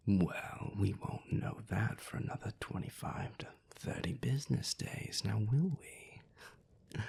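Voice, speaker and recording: A man playing a sardonic, infuriating vampire in an audio roleplay, theatrical and sly, with arch, taunting formality and a breathy, hushed voice. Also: on the low side, full character voice.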